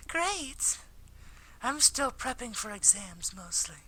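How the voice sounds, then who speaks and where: A man puts on a sweet anime schoolgirl in a very high, airy falsetto. She is gentle and polite, the idolized girl of a high school visual novel. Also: big pitch swings, slow pace, a little hiss or hum, exaggerated voice. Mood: sweet, friendly.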